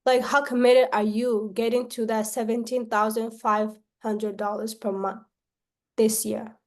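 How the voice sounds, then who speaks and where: Woman from Myanmar giving a sales pitch. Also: little pitch movement, measured pace. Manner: pitch broken up by frequent tag questions and restarts.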